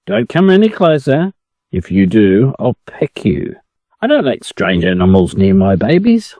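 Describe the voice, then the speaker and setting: A man's voice: fairly low pitch, wildly swooping pitch, unhurried, mellow, no echo, full character voice. An Australian man voicing a protective, chatty mother hen in a storybook reading. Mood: wary, scolding. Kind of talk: storybook character voice.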